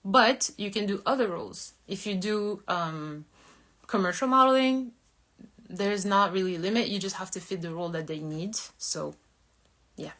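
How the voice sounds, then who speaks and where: A woman's voice: mid-range voice, normal speed, fairly bright tone. A woman in her thirties, from Korea, chatting candidly and a bit ramblingly with viewers on her live stream. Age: 30s. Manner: candid, rambling, self-deprecating. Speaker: model and livestream host. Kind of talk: casual live-stream chat.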